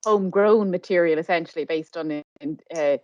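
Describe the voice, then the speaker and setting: A woman interviewing a guest informally, phrasing her questions tentatively with pauses. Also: lowish voice, darkish tone, no echo, muffled recording. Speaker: podcast interviewer.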